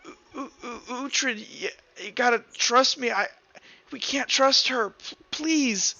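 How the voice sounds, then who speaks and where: A man's voice: very high voice, slow pace, sharp tone, lo-fi sound, pitched above the performer's own voice, full character voice. A man voicing a timid teenage boy with a spear who is distraught and accusing, stammering as he pleads in a tabletop game. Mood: tearful pleading.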